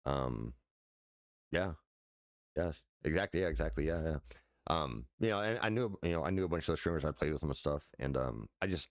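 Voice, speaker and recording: Very deep-voiced man rambling casually. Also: dry recording, clean studio sound. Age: 40s. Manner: loose, rambling, many fillers and restarts, swears casually. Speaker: streamer. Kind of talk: casual rambling chat while sim racing. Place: his house.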